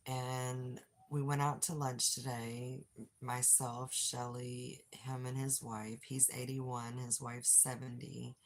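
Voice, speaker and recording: An older woman with a low, husky voice chatting casually with viewers on a phone livestream. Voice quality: low, gravelly voice. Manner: chatty, reads and answers chat aloud. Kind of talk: casual live chat with viewers. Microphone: phone without external mic.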